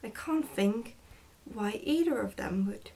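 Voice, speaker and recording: A woman's voice: on the high side, animated, takes it slowly, mellow, slight background noise. Woman reading as a querulous goblin mother. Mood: disapproving. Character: goblin mother, fretful and disapproving. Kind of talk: storybook character reading.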